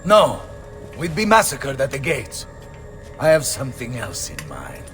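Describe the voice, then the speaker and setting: An actor playing a bold, blustering Renaissance mercenary captain with an Italian accent in a video game. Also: male voice, mid-range voice, wildly swooping pitch, measured pace, fairly bright tone, faint music behind. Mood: confident, scheming. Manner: blustering, theatrical. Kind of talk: video game cutscene acting.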